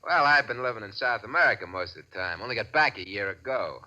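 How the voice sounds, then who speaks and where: An actor playing a slick, bossy gangster and nightclub owner, brash and glib, in a 1940s radio drama. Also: male voice, on the low side, lively intonation, quick pace, pushed and bright. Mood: hearty, boastful. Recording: dull, noisy vintage radio recording.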